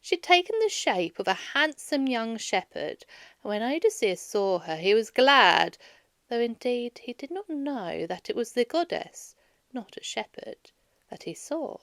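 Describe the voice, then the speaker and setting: A woman reading a children's story aloud in an expressive storyteller's way, dramatizing as she goes. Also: fairly high pitch, measured pace, no room sound, clean studio sound. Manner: animated, dramatizes dialogue.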